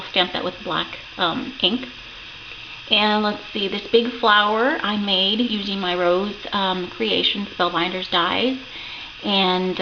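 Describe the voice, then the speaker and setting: Croaky woman chatting through a craft project. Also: medium pitch. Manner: chatty, rambling, lots of ums and self-corrections. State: croaky from a sore throat. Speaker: crafting channel host. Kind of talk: casual craft show-and-tell. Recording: dull lo-fi home recording with noticeable background hiss.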